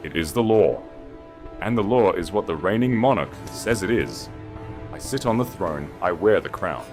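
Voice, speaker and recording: A man voicing a haughty, spiteful and desperate usurper queen with a shrill edge in an audiobook story. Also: lively intonation, moderate pace, soft background music. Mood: sneering defiance.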